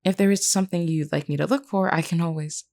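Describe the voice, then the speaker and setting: Woman voicing a vampire queen, deep female register, crackly vocal fry, measured, formal, kindly, solemn, audio roleplay drama. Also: fairly even intonation, a little mellow, close to an everyday voice. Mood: formal, welcoming. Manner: measured, formal, earnest, reassuring. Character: composed, kindly vampire queen, solemn but caring leader. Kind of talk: scripted character roleplay audio.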